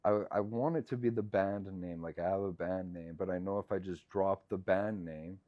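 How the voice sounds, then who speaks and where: A man with a very deep, low voice chats casually as a guest in an interview. He talks in halting bursts, leaning on fillers and letting his thoughts trail off. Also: steady tone, no echo, phone-quality sound. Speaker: musician guest.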